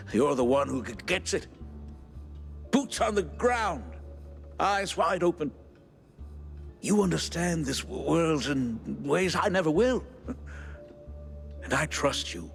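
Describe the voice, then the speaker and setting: Stammering mouse war buddy, earnest, slow, gravelly, confiding, cartoon game, music underneath. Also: male voice, fairly high pitch, very animated, exaggerated voice. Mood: confiding, encouraging. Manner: halting, confiding.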